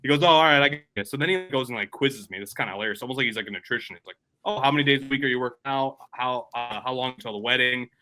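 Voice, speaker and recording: A man co-hosting a casual podcast with a very bright, forceful voice. He rambles through his stories with easy laughs and talks the way friends chat at home. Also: medium pitch, rapid-fire, few pauses, poor audio. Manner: rambling storyteller, laughs often. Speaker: podcast co-host.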